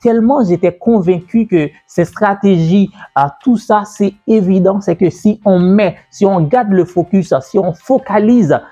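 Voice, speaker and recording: A man's voice: high voice, measured pace, few pauses, dark tone, husky. Man telling his business story. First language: French. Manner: conversational, repeats himself, many fillers. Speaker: entrepreneur and business coach. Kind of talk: personal story told to camera. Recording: dull, lo-fi sound with faint music.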